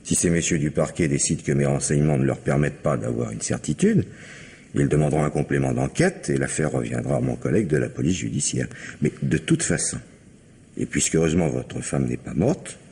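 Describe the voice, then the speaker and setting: An actor playing a probing, courteous police commissioner in a French radio mystery drama. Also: male voice, very deep voice, sing-song, brisk, creaky, a little mellow, slightly rough, exaggerated voice. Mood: probing, formal.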